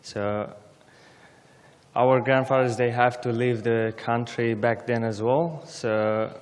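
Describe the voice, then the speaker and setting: Young guy, slow careful broken english, big church reverb. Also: mid-range voice, fairly even intonation, smooth. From Syria. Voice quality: halting non-native English, simple phrasing. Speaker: guest speaker. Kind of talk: personal testimony speech.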